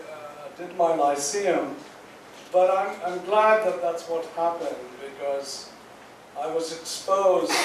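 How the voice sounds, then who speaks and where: A man from Goa recalling his upbringing on a panel, speaking slowly and reflectively with frequent pauses. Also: a little high, darkish tone. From Goa, India. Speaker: panel guest, author. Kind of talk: recounting memories on a panel. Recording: distant mic in echoey hall, faint noise.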